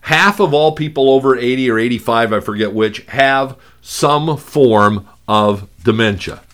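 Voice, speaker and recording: A man with a gravelly voice, hosting a talk show and speaking in a halting way with many fillers and restarts. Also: mid-range voice, a bit bright. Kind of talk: conversational radio talk.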